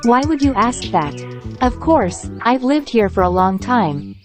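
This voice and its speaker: A woman's voice: on the high side, very animated, unhurried. A woman playing a friendly, inquisitive young woman in a scripted mystery audio novel. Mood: probing. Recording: loud guitar music behind the voice.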